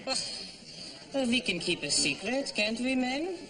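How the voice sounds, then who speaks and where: An actor playing a bumbling undercover German spy with a German accent in a television sitcom, evasive and jokey. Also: male voice, very high voice, sing-song, takes it slowly, a crowd in the background, full character voice. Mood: nosy, evasive.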